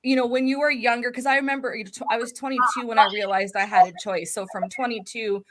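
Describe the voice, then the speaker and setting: A woman in her thirties co-hosting a video call, animated and quick to joke. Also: fairly high pitch, noisy background. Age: 30s. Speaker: co-host. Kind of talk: casual video call chat.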